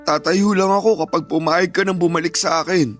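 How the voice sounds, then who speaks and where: A very high-voiced man acted for radio drama, desperate and remorseful. He pleads in a whining way like an ex begging to be taken back, over faint music. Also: fairly even intonation, fairly quick, fairly bright tone, lightly acted. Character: desperate, remorseful ex-partner and father begging for forgiveness. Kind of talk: Filipino radio drama acting.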